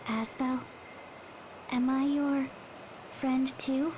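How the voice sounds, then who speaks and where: A woman playing an innocent, puzzled young girl in an anime game dub, childlike and deadpan. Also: sits high, drawn-out words, a little hiss or hum, low-quality recording. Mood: innocent, unsure. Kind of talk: English-dubbed anime game cutscene acting.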